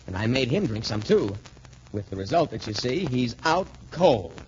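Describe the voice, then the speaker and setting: A dull, slightly noisy vintage radio recording carries a man acting a boyish American military cadet. He is earnest, polite and eager, with lively swings of intonation in the style of 1940s adventure drama. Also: medium pitch, normal speed, natural voice. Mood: earnest explaining. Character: earnest, modest, clever young male military cadet hero.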